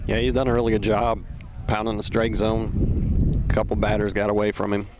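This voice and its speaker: An American man offers casual baseball analysis on the radio. His voice is on the low side and stays level, with little rise or fall. Clear outdoor noise runs behind him. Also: little pitch movement, moderate pace. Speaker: radio color commentator. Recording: noisy outdoor live radio broadcast.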